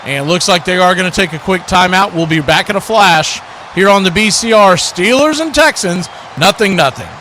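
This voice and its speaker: A man hosting live football play-by-play, calling the action excitedly as it happens. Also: high voice, moderate pace, slight background noise. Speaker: play-by-play host.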